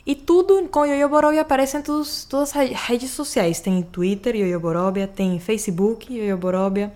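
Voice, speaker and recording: A woman's voice: on the high side, moderate pace, darkish tone. A Venezuelan woman, a native Spanish speaker, talking about her life in a radio interview with a strong Spanish accent, often restarting her sentences. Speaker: singer-songwriter guest. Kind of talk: radio interview, casual chat.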